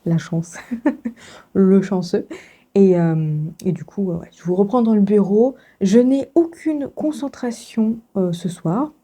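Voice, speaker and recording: Vloggeuse enrhumée à la voix feutrée. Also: female voice, mid-range voice, slow pace, mellow, slightly rough. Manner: chatty, frequent filler sounds and laughs. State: congested with a sore throat. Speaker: book vlogger. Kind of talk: casual vlog chat.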